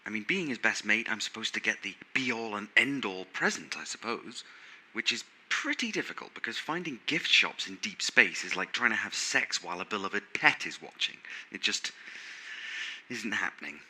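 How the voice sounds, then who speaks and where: A man's voice: fairly low pitch, lively intonation, normal speed, pushed and bright, a little hiss or hum, low-quality recording. A man playing a vain, sarcastic, rambling robot crewmate in an audio drama, insecure under the swagger. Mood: musing, self-satisfied. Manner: theatrical, sarcastic, rambling monologue. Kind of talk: scripted audio drama monologue.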